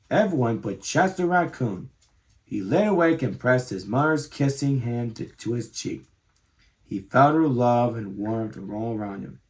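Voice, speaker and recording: A man in his thirties narrating a children's storybook aloud in an expressive way. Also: mid-range voice. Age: 30s.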